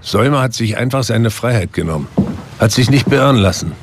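A man's voice: medium pitch, expressive, a little fast, creaky. An actor playing an earnest East German man who dreams of traveling, heard in film clips. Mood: wistful. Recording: film clip with music underneath.

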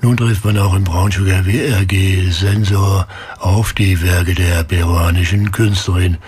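Very deep, husky male radio presenter. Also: fairly even intonation, measured pace, darkish tone. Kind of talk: radio moderation, introducing music and reports.

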